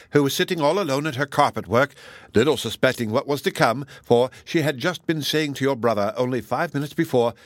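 A man's voice: medium pitch, dry recording, close to an everyday voice. A man voicing a chatty, good-hearted older matron in an audiobook, gossiping in a rambling, exclamatory and indignant way. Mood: excited, pitying. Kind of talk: audiobook character dialogue.

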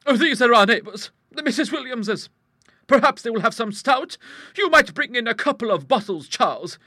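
A man voicing a brisk, outspoken middle-aged English aunt in a storybook, hearty, emphatic and used to commanding. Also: very high voice, lively intonation, sharp tone, no room sound, clean studio sound, voice pushed up, full character voice. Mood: hearty, blunt. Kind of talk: storybook character voice in an audiobook.

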